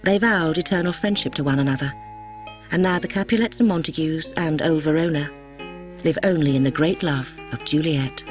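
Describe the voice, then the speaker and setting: A woman reading a story as an audiobook narrator, expressive and solemn. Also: low-pitched, normal speed, faint music behind. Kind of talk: dramatic scripted story narration. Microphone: close studio microphone, dry.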